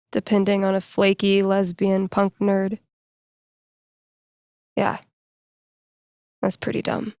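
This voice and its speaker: A woman's voice: medium pitch, gravelly, no echo, studio quality. A woman playing a candid, self-deprecating young punk nerd in a video game, speaking in a natural, sincere, wry conversational way. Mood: remorseful. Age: young adult.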